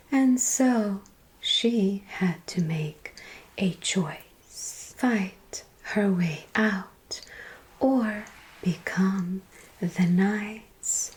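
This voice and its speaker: A woman playing a slow-whispering vampire storyteller. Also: mid-range voice. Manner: soft whispered, slow and teasing. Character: teasing female vampire storyteller. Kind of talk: ASMR roleplay storytelling.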